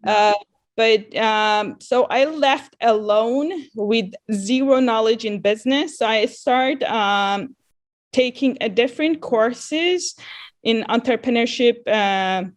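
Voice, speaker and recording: Earnest woman, brightish voice. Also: fairly high pitch. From Iran, grew up in Dubai. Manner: earnest, repeats and restarts words. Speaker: guest, company founder. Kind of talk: casual interview.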